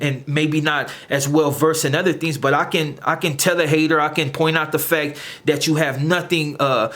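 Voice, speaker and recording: A man's voice: a little high, a bit bright. A man hosting his own channel, ranting straight to camera at his critics in a confrontational, lecturing way, often stumbling and repeating words as he searches for them. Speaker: channel host. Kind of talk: direct-to-camera rant.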